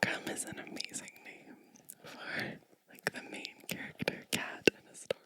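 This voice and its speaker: A woman whispering a bedtime storybook in an ASMR style, gentle and unhurried, close to the microphone. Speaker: ASMR host reading a storybook aloud.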